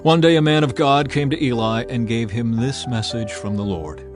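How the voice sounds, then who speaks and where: A man reads scripture aloud in a measured, solemn narration. His voice sits at a middling pitch for a man, and soft music plays under the reading.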